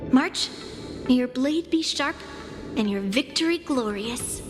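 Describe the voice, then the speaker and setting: A woman playing a confident, blunt but caring young girl swordswoman in a video game, brisk and commanding. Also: high voice, animated. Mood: encouraging, earnest. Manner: brisk, commanding, self-assured. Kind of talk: video game character acting. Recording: long reverb, music behind.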